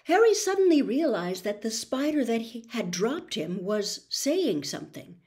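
A woman in her sixties reading a story aloud in a bedroom, with deliberate, suspenseful, theatrical narration. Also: fairly high pitch, big pitch swings, a little mellow. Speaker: storybook reader. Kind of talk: dramatic audiobook-style narration. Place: small bedroom, indoors.

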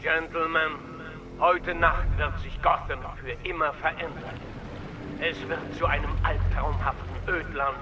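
An actor playing a menacing, vengeful supervillain in a video game, theatrical, ominous and deliberate. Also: male voice, on the high side, dull audio, lightly acted. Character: menacing, vengeful male supervillain who preaches fear. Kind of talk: dramatic video game villain monologue. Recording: loud game music and effects behind.

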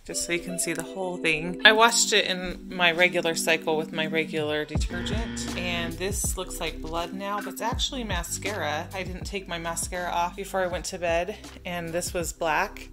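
A woman's voice: on the low side, normal speed. A woman hosting a cleaning vlog, talking casually and informally through a product test. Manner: informal, chatty. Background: music.

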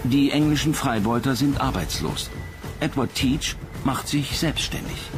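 A man's voice: medium pitch, measured pace. A man reading scripted documentary narration as the narrator. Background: music behind the voice.

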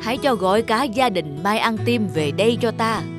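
A woman's voice: medium pitch, animated, moderate pace, over music, natural voice. A woman voicing a proud, stern old king with a commanding manner in a cartoon fairy tale. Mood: solemn, approving. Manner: imperious, commanding.

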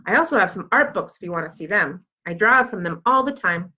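A woman's voice: mid-range voice, expressive, fairly quick, sharp tone. A woman voicing a shy, earnest young schoolgirl in a children's storybook. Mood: earnest, keen. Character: shy, earnest young schoolgirl who loves fish and drawing. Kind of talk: children's storybook read aloud.

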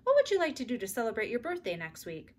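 A woman's voice: a little high, wildly swooping pitch, fast, a little hiss or hum. A woman voicing a patient but harried mother of two girls in a storybook, with motherly exclamations.

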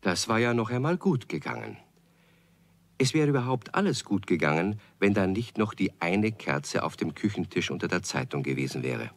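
An actor as a calm, wryly amused storyteller, narrating a children's audio play in a measured way. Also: male voice, deep voice. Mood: calm, suspenseful.

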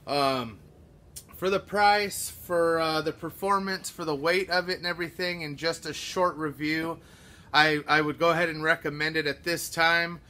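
A man in his thirties casually reviewing a power tool in his shop, relaxed and full of fillers. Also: fairly high pitch, moderate pace. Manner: relaxed, filler-heavy, practical shop talk. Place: under a lowered truck, then inside an auto shop.

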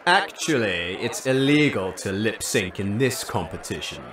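An actor playing a dismissive talent contest judge in a comic audio drama, catty and condescending. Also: male voice, lowish voice, lively intonation, a little slow, a bit bright. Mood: officious. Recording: echoey room, slightly distant mic.

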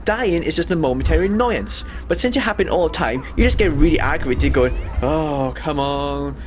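A man's voice: a little high, expressive, normal speed, soft background music. A young man giving an exasperated, sweary, informal comedic game review. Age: young adult. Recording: noisy home room recording.

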